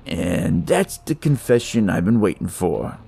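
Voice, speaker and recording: A man's voice: sits low, big pitch swings, unhurried, gravelly, warm tone, slightly rough, soft background music, exaggerated voice. A man voicing a hardboiled, corrupt police detective with a wry, menacing manner in a noir horror story.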